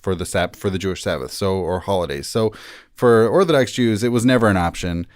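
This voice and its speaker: Male podcast guest, lower register, dry recording, conversational explainer. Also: animated, brisk, no echo.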